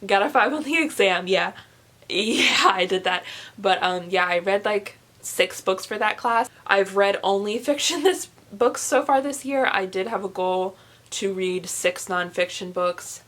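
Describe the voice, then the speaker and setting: Late-teen female book vlogger, mid-range voice, casual and rambling delivery with animated, self-mocking asides. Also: medium pitch, a little slow. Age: young adult, late teens. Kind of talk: casual chat to camera.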